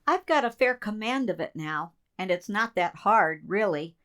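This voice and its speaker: A woman's voice: medium pitch, lively intonation, moderate pace, fairly bright tone, close to an everyday voice. A woman in a community radio play, playing an earnest assistant code breaker in a conversational way. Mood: earnest, uneasy. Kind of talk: community theater radio drama dialogue.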